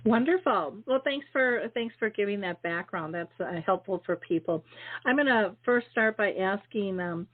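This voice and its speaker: A woman hosting an interview show, chatty and filling pauses with "um" and "you know". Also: mid-range voice, lively intonation. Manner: chatty, full of fillers and warm thanks. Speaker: podcast host. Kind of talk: casual interview chat. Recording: phone-like video call audio.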